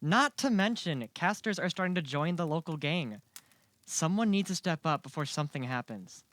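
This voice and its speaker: Casting: male actor for an inquisitive young townsman in an audio drama, slightly high voice, earnest and eager. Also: lively intonation, moderate pace, fairly bright tone, no echo. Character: eager, curious young man, earnest small-town shop worker. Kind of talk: amateur audio drama acting. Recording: faint background noise.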